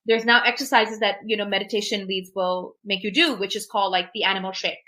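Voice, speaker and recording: A woman's voice: mid-range voice, fairly even intonation, fast, sharp tone. A woman whose first language is Urdu, talking through personal experiences and advice in an emphatic, reflective way and often checking in with "right?". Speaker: podcast guest, technologist.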